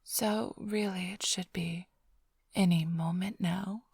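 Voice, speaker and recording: A woman playing a flirtatious, smug vampire thief in an intimate ASMR roleplay, teasing and sly in a breathy, often whispered voice. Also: on the low side, fairly even intonation, takes it slowly, a little mellow, dry recording, studio quality, full character voice. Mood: mocking, playful. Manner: teasing, purring, theatrically sly. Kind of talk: ASMR roleplay, intimate close address to listener.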